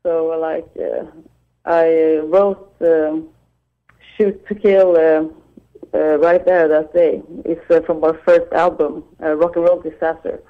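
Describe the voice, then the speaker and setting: A Swedish woman, a guitarist, talking on the phone in halting English with a Swedish accent and frequent laughter. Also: low voice, level tone. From Sweden. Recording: dull phone line.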